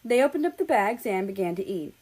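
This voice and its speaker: A woman's voice: mid-range voice, very animated, fast talker, darkish tone, breathy edge. Woman narrating a story. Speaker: audiobook-style reader of fan fiction.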